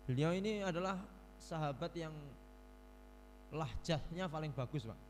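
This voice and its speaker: A man with a Javanese accent giving a religious study lecture, reading Arabic text and explaining it in a relaxed, chatty way to his audience, often clearing his throat. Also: a little high, slow, in a large hall, slight background noise, rough recording. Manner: mixes Arabic recitation with casual explanation, addresses audience as Bapak Ibu. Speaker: ustadz teaching a hadith book.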